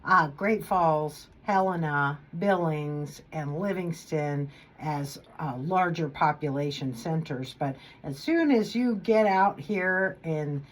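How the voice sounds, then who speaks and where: A woman from Montana with a fairly low voice talks enthusiastically about her congressional campaign. She laughs easily while speaking in a radio studio. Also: slow pace, hardly any pauses. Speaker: congressional candidate. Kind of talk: radio interview.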